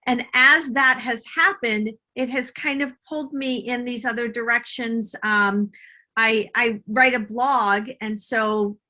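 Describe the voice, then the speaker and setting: A woman's voice: on the high side, steady tone, unhurried, sharp tone. A middle-aged woman answering interview questions as a guest author, explaining herself in a reflective, conversational way.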